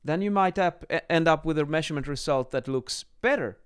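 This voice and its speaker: A man's voice: on the high side, fairly quick, clean tone. Man giving an informal technical lesson. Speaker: acoustics instructor, presenter. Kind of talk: informal whiteboard explainer lesson. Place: small home office, indoors. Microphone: microphone on boom arm, close.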